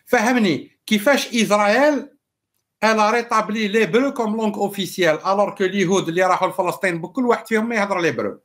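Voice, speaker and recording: A man's voice: sits high, very fast, fairly bright tone. An Algerian-accented man, a native Arabic speaker, explaining emphatically in a live political discussion and switching between languages. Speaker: political commentator and live show host. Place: small indoor home room.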